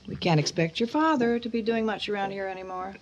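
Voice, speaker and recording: A woman's voice: mid-range voice, quick pace, heavy background noise, close to an everyday voice. A woman playing a cool, sardonic wife and mother in her forties in a radio drama. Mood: dry, grudging.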